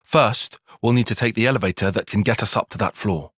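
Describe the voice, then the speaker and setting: An actor playing a guarded, analytical young soldier-tactician in a video game, with formal, bookish phrasing and a dry, prickly edge. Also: male voice, on the low side, a little fast, a bit bright, slightly rough, no echo. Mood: analytical, measured. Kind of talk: video game cutscene acting.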